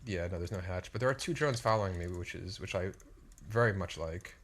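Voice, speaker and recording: Low-ish guy rambling about his game, kinda self-deprecating, faint hiss behind. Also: a little fast, a bit bright. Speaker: co-host playing the match. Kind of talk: casual gameplay commentary.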